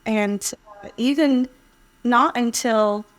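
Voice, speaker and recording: A woman's voice: on the high side, takes it slowly. A middle-aged woman from Hawaii, a podcast guest telling her personal story conversationally in an earnest, reflective way. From Hawaii, United States. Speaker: podcast guest, fashion influencer.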